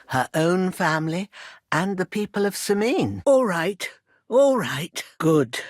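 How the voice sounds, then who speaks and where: A woman's voice: fairly low pitch, animated, slow pace, dry recording, clean studio sound. An actress playing a worried old village herbwoman in a video game, protective and proud, with a plain-spoken rustic manner. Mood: somber, wary. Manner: rustic, plain-spoken, folksy turns of phrase. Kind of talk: scripted video game character dialogue.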